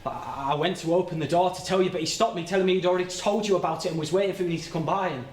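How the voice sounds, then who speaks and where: A man performs an anguished working-class man confessing in a live stage monologue. His fairly high male voice is plain and halting, stumbling and repeating words under the weight of guilt. The recording is roomy, with faint noise behind him. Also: natural voice. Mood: uneasy recollection. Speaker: stage actor. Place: indoor soundstage. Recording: roomy, noisy soundstage livestream.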